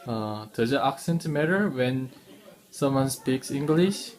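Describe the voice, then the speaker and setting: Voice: mid-range voice, animated, takes it slowly, a little mellow. Interviewer asking short set questions. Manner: brief, repeats set questions.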